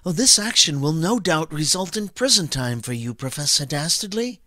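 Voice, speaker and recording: A man's voice: on the high side, expressive, moderate pace, pushed and bright, no room sound, studio quality. A man voicing a mild, formal, wordy doctor, a detective's loyal assistant, narrating an audio drama in a subdued manner. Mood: formal, earnest.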